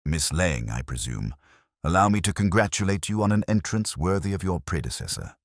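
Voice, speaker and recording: A man acts out a brilliant gentleman detective for a mystery audiobook. The voice is extremely low and grave, with deliberate, incisive phrasing and theatrical swings of intonation. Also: animated, no room sound, clean studio sound. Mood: cool, formal.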